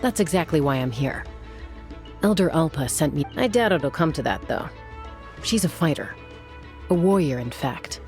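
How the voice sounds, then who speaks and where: Low-voiced, composed woman warrior. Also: animated, normal speed, long pauses, a little mellow. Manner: composed, matter-of-fact, explanatory. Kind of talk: video game voice acting. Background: background music.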